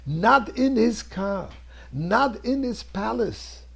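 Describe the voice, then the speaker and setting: A man, a rabbi, telling a story in a dramatic sermon, with a husky, gravelly voice and emphatic delivery that moves between hushed and declamatory. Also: high voice, animated, slow pace. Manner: theatrical, emphatic delivery, swinging between hushed near-whispers and loud declamation.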